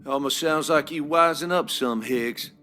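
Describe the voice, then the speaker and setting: An actor playing a cold, corrupt small-town villain with a folksy, threatening manner in a video game. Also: male voice, fairly high pitch, a little slow, pushed and bright, faint music behind. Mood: bitter, threatening.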